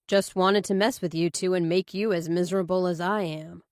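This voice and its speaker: A woman's voice: lowish voice, fairly even intonation, normal speed, dry recording, clean studio sound, natural voice. A woman voicing an angry, bitter, threatening man in an audiobook, curt and defiant. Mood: angry, menacing. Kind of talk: audiobook character dialogue.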